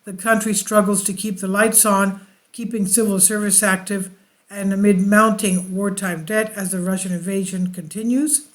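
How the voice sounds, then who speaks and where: An online news commentator reading articles aloud with off-the-cuff remarks, in a halting delivery that stumbles over the text. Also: mid-range voice, level tone, a bit bright.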